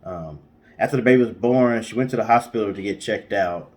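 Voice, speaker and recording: Male co-host for storytime, lowish voice, informal narration from notes. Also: fairly quick, a little mellow. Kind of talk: casual storytelling read from notes.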